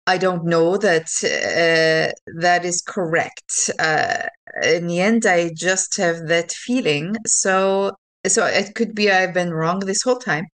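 Female tabletop player, slightly low voice, very unhurried, measured in-character delivery with pauses. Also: a bit bright. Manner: measured, pauses to pick words. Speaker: player in a tabletop role-playing game. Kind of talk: casual in-character game talk.

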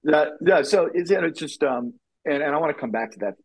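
A man's voice: fairly high pitch, lively intonation, fast, gravelly, husky, no room sound, poor audio. A middle-aged man from Louisiana, a brand strategist talking in a podcast interview, rambling with many restarts and fillers.

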